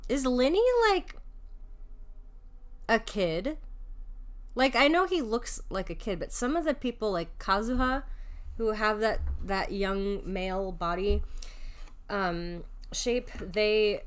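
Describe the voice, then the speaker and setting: A woman's voice: mid-range voice, animated, slow, long pauses, clean tone. A young woman streaming a video game, giving casual, joking commentary with slangy, teasing reactions. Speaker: vtuber streamer playing a game.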